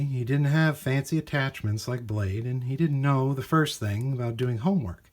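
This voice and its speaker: A man reads a children's story with theatrical emphasis. His voice is slightly rough-edged and husky, and his pitch moves up and down freely as he stresses key words. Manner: expressive, dramatic emphasis. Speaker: storybook reader. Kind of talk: animated scripted storybook narration for children.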